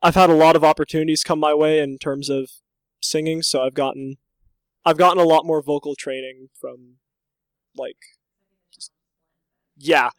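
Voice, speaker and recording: A man's voice: a little high, steady tone, normal speed, pauses often, clear, resonant tone, no echo. A teenage boy who sings tenor, talking about himself in a joking, self-deprecating way. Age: teens. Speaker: tenor in a high school a cappella group.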